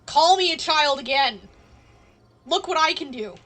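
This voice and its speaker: A woman's voice: sits high, expressive, measured pace, a bit bright, soft background music. A woman game streamer chatting casually and playfully, tossing teasing asides at the game as she plays. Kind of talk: casual live-stream chat while gaming.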